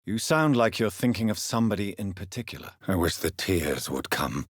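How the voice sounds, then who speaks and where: A man with a British accent playing a grave, formal gentleman vampire doctor in a dramatic video game, husky-voiced and precise in his diction. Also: low-pitched, big pitch swings, moderate pace, no room sound, clean studio sound, exaggerated voice. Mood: composed, reflective.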